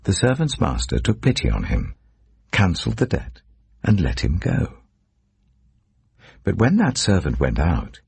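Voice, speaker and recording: A man reading scripture as a narrator in a solemn, measured, dramatic storytelling delivery. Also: rumbling low, creaky, darkish tone, slightly rough, no echo. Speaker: Bible narrator.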